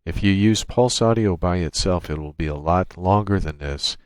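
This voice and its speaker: A man narrating an unscripted software tutorial, explaining each step in a matter-of-fact way. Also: low voice, normal speed, clean tone, no room sound, studio quality. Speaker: tutorial presenter. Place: indoors at a computer, screen-recording voice-over.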